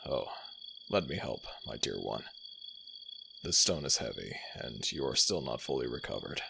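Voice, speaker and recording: An ancient jackal god of the dead in an ASMR audio roleplay, speaking with a cavernous, growling rumble. His lines swing with animated intonation between command and tenderness. A steady hiss of noise sits behind the voice. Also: heavily acted. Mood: tender. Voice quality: gravelly, deep and slow.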